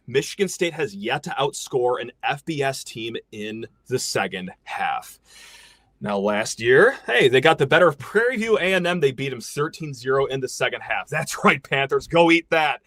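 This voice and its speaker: A man's voice: medium pitch, no echo. A man hosting a sports podcast, chatting casually and joking with his guest. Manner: animated, joking, quick asides. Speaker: podcast host.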